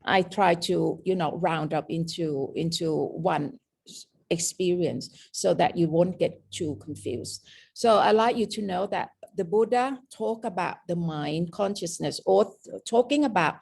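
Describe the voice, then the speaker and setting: A woman's voice: on the low side, measured pace, vocal fry, darkish tone, breathy edge. A woman with a mixed Thai and British accent, teaching a lecture on meditation and often checking in with "okay?". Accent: Thai and British mix. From Thailand. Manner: explaining with frequent "okay?" checks.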